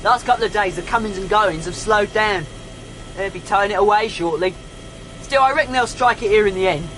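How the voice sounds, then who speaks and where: An actor playing a casual, matey helicopter pilot chatting in a radio drama. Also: male voice, high-pitched, fairly quick, a bit bright, slight background noise, low-quality recording. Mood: chatty. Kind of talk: BBC radio drama acting.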